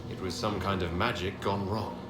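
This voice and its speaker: An actor playing a composed, pragmatic fantasy hero and leader in a video game, with a matter-of-fact delivery. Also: male voice, deep voice, steady tone, moderate pace, natural voice. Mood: curious, confident. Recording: echoey, clearly noisy game audio.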